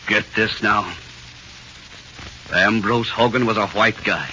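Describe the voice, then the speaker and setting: Deep, very forceful American cop. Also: male voice, steady tone, takes it slowly, full character voice. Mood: grim, vengeful. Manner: gruff, bullying, slangy. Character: hard, menacing, vengeful male police interrogator. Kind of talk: 1940s radio drama acting. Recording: dull, slightly noisy old radio recording.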